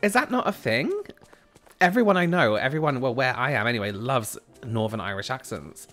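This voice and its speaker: A talkative man in his thirties with a South London accent chats into his headset while he games. His voice sits at an ordinary middle pitch. Soft game music plays quietly under him. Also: brisk. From England. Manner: chatty, animated, jumps into a high squeal when excited. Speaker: streamer. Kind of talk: casual chat while gaming, reading chat aloud. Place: small room at home. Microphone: headset with boom mic.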